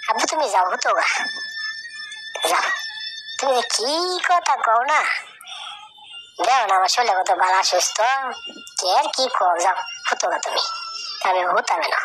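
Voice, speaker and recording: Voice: mid-range voice, huge pitch swings, unhurried, pauses often, poor audio. A performer voicing a sad, neglected little boy in a cartoon, plaintive, with a rural Bangladeshi accent. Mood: reassuring. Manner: plaintive, repeatedly addressing his elders. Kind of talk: Bengali moral-story cartoon dialogue. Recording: loud music bed. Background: loud music.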